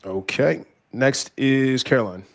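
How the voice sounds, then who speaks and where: A man's voice: mid-range voice, slow, gravelly, dry recording. A man hosting a casual panel podcast, upbeat and keeping the talk moving. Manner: upbeat, keeps things moving. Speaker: podcast host and moderator.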